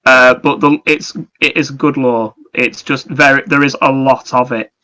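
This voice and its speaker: Male gaming podcast co-host, forward bright tone, flat intonation, informal conversational explaining. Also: mid-range voice, normal speed. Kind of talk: casual chat about video games.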